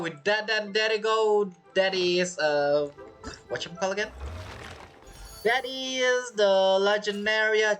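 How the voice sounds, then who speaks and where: Male streamer, lively swinging intonation, informal and chatty, commenting over soft game music. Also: sits high. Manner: chatty, informal, reacting as he plays. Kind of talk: casual gameplay commentary.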